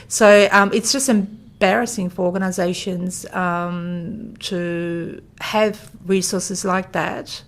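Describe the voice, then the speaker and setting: A woman's voice: fairly low pitch, fairly even intonation, takes it slowly, few pauses. A woman whose first language is Polish, explaining things plainly in a conversational interview. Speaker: interviewee, translation specialist.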